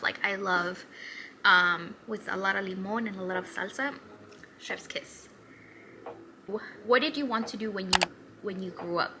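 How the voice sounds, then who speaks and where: A woman in her twenties from Mexico, chatting upbeat and rambling through a get-to-know-me vlog. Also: medium pitch. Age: 20s. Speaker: hairstylist vlogger. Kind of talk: casual Q&A vlog.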